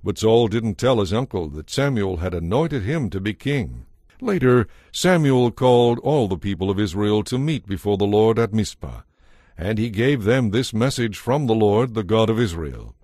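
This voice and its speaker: A man's voice: on the low side, expressive, normal speed, darkish tone, no echo, studio quality. A man narrating a dramatized audio Bible as a scripted story.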